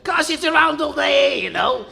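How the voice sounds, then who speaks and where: A performer playing a nagging, screechy elderly landlady in a comic radio sketch, scolding loudly. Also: high voice, expressive, moderate pace, gravelly, background noise, heavily acted.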